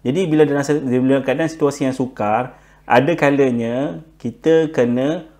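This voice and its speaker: Male Malaysian presenter, mid-pitched, unscripted how-to talk, filler-heavy and code-switching with English. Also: steady tone, darkish tone. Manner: halting, frequent filler words and repetition. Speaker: presenter giving buying tips. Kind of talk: informal explainer, unscripted talk.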